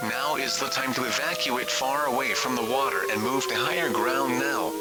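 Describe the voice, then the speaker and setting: Guy reading a scripted emergency warning, mid pitched voice, music clearly playing behind him. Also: rough recording. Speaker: emergency alert announcer. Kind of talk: scripted emergency broadcast announcement.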